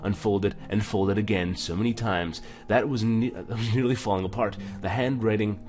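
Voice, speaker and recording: A man's voice: fairly low pitch, steady tone, normal speed, a little mellow. A man hosting a let's play, commenting informally and reading on-screen text aloud with some stumbles. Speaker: gaming video host. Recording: music behind the voice.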